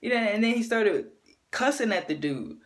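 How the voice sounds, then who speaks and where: A woman with a deep voice gives an animated opinion rant to camera, often checking in with her listener. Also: normal speed. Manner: animated, keeps asking "you know what I'm saying". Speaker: vlogger.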